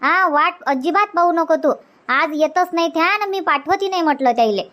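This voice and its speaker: Cartoon village aunt, acted by a woman: high voice, Varhadi Marathi accent, bossy and hospitable, nags by repeating herself. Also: fairly quick, fairly bright tone. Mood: firm, scolding. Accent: Varhadi Marathi from Vidarbha. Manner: insistent, repetitive, scolding. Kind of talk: Marathi cartoon comedy dialogue.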